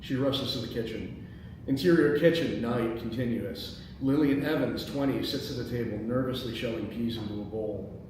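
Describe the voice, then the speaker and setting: Male host, mid-pitched, script table read narration, strong echo, clear background noise. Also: moderate pace, darkish tone. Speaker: host and stage-direction reader. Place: indoor meeting space. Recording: very echoey room, distant mic, background noise.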